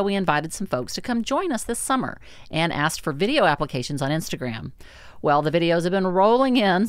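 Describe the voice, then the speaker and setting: A woman narrating a sailing vlog voice-over in a friendly, lively way. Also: on the low side, no echo, studio quality.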